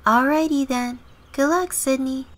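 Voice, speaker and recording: A woman voicing a bright, chirpy young female neighbour, sweet and curious, in a scripted game story. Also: high-pitched, fairly even intonation, measured pace, voice pushed up. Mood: friendly, helpful.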